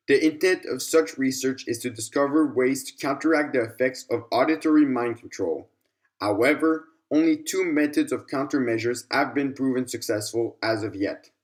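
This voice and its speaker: A man narrating a scripted clinical report in a measured, formal manner, occasionally stumbling over lines. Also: medium pitch, darkish tone. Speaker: narrator reading a document.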